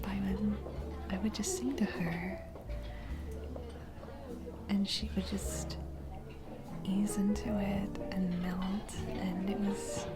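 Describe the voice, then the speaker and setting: A woman's voice: medium pitch, natural voice. Woman acting as sweet single mom on a first date, kinda shy n giggly, takes her time, music playing behind her, romance roleplay. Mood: tender, heartfelt. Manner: hesitant, giggly, gently encouraging. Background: background music, restaurant date ambiance.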